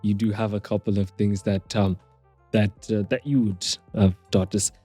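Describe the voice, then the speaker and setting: A man's voice: lowish voice, flat delivery, normal speed, dark tone, husky, no echo, soft background music. A man hosting an interview, talking in an earnest, meandering way with many hesitations. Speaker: podcast host.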